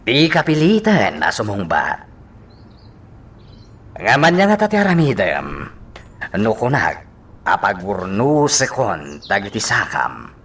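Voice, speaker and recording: A man's voice: on the low side, sing-song, slow pace, long pauses, slightly rough, heavily acted. A man with an Ilocano accent playing an arrogant, threatening male congressman villain in a radio drama. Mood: menacing. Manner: threatening, domineering. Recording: loud music bed behind voice.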